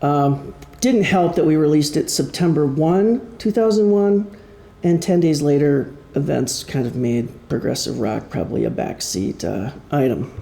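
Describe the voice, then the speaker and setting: Male author-musician presenting informally: mellow, creaky voice, conversational and self-effacing, recorded in a live room. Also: a little high, lively intonation. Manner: halting, self-deprecating, conversational. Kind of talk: informal public talk about own creative project. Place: indoor room before an audience. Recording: roomy live presentation sound.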